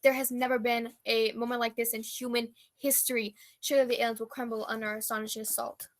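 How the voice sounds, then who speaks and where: A woman's voice: a little high, flat delivery, fast talker, lightly acted. An actress playing a pompous, florid female war correspondent delivering a dramatic report in a radio comedy. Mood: rousing, grandiose. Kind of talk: old-time radio news report parody.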